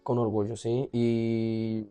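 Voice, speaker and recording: A man's voice: medium pitch, monotone, slow pace, warm tone, clean tone, soft background music. A man with a Colombian accent telling his personal story casually and candidly as an interview guest. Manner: candid, often laughing as he talks.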